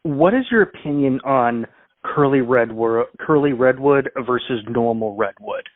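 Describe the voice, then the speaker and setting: A man's voice: mid-range voice, animated, unhurried, no echo. Male prank caller; rambling, restart-heavy delivery; phone-line audio. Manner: rambling, full of fillers and restarts. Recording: tinny dry phone line.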